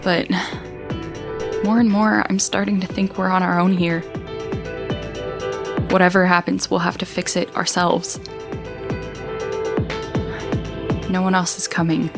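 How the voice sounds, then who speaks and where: A woman's voice: lowish voice, level tone, moderate pace, long pauses, close to an everyday voice. A young woman acting as a worried, weary stranded student in a sci-fi audio drama, speaking in a hesitant, introspective monologue. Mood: weary, resigned. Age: young adult. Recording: prominent music behind the voice.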